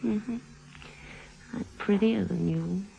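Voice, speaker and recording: Scheming femme fatale, 1950s radio. Creaky, intimate, teasing delivery. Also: female voice, medium pitch, takes it slowly, warm tone, low-quality recording. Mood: coy, probing. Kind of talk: 1950s radio drama acting.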